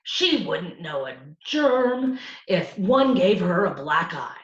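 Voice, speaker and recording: A brash little male city mouse from a storybook, acted out by a woman. He is streetwise and scornful, coaxing with a lively, swinging pitch. The room around the voice echoes. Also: slow pace, far from the mic, muffled recording. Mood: scornful, knowing. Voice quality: squeaky. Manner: scornful, know-it-all, coaxing.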